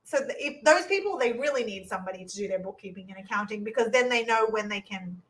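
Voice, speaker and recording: A middle-aged woman co-hosts a relaxed podcast with a bright, forceful voice. She explains practical matters plainly and drops in quick agreement, recorded from a little back off the mic. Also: medium pitch, far from the mic, rough recording. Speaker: podcast co-host. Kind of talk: casual live podcast chat.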